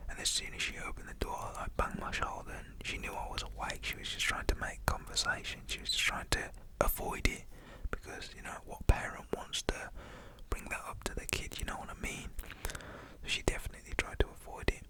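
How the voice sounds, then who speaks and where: A young man tells a personal story in a whisper, full of "you know" and "literally". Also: fairly quick.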